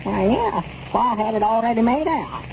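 An actor playing a fretful, timid old rural storekeeper with a thin, whiny rural Arkansas drawl, in 1930s radio comedy. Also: male voice, very high-pitched, sing-song, normal speed, gravelly, background noise, exaggerated voice. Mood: meek. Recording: muffled 1930s radio broadcast.

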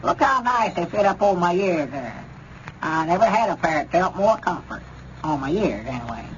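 An actor playing a gullible old country storekeeper with a rural Southern American accent, rambling and repeating himself in 1930s radio comedy style. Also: male voice, high voice, very animated, normal speed. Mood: stubborn, complaining. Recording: dull, noisy 1930s radio recording.

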